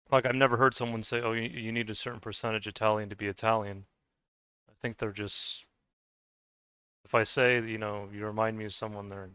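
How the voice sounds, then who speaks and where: A man's voice: medium pitch, sharp tone, clean tone, dry recording. A young man with a slight Scottish-tinged accent, chatting casually and hesitantly while playing a game, often restarting and trailing off. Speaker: gaming video creator. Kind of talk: casual rambling commentary over gameplay.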